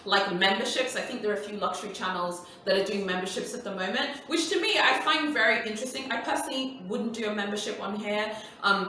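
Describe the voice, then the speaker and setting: Bright-voiced woman in an echoey room. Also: medium pitch, a little hiss or hum. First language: Swahili. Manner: chatty, emphatic, repeats points, many "like"s. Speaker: luxury YouTuber host. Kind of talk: casual Q&A talk to viewers. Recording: echoey room, voice far from mic.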